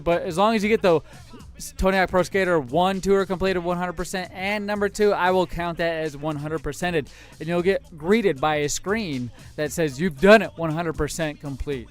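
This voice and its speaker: A man with a high-pitched, smooth voice chats casually as the host of a live game stream. His delivery is informal, with showy announcer-like moments. Faint music plays behind him. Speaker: livestream host.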